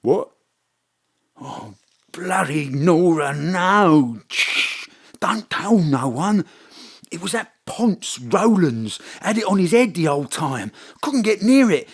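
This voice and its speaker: A boastful, uncouth British crook. He blusters in slangy, ungrammatical bursts, his pitch leaping up and down as he goes. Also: sing-song, takes it slowly, husky, exaggerated voice. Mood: indignant, gossipy. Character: cocky, uncouth small-time thief, male, slangy and boastful. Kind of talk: comic audio drama acting.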